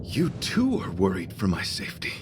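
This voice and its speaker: A man's voice: low voice, wildly swooping pitch, moderate pace, noisy background. A man voicing a noble, devoted knight in a video game, speaking in a courtly, formal, theatrical way. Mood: gentle, courteous. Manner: grandiose, courtly, formal phrasing.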